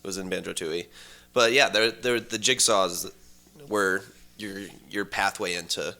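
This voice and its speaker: Low, bright-voiced man explaining things casually. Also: steady tone, a little slow. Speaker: podcast co-host. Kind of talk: casual chat, explaining game plots.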